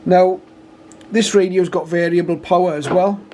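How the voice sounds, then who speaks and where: British man, flat delivery, slightly high voice. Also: moderate pace, a little hiss or hum. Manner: matter-of-fact, methodical step-by-step explaining. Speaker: radio reviewer and demonstrator. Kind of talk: informal product demonstration.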